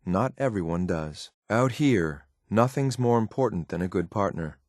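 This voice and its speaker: Cool, lethal android soldier for a video game: low voice, clipped military deadpan, very dry close recording. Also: male voice, normal speed, no room sound. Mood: respectful, admiring. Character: stern, loyal synthetic courser soldier, cool and lethal. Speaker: combat companion in a video game. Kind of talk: scripted video game voice lines.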